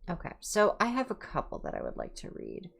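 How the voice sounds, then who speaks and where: A woman reading aloud in an informal ASMR livestream style, in a measured, careful way. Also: lowish voice, huge pitch swings, gravelly, fairly bright tone, slight background noise. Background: music.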